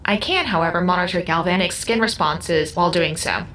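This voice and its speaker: Sci-fi audio drama: actress as female AI, slightly low, formal, literal, clinically exact, light noise behind. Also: fairly quick, a bit bright, lightly acted. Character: literal-minded, coolly precise female computer intelligence.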